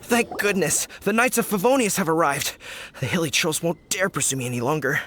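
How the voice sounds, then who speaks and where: Flustered, dramatic village merchant. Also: male voice, fairly high pitch, sing-song, brisk, fairly bright tone, slight background noise. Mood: relieved. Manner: dramatic, exclamatory, grumbling. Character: flustered, indignant male fruit merchant villager. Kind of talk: animated video game dialogue.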